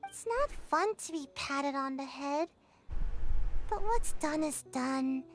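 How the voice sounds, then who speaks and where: A woman's voice: sits high, animated, takes it slowly, over music. A woman voicing a sulky young ninja girl in a video game, childlike, earnest and dejected, with a dramatic streak. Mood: glum.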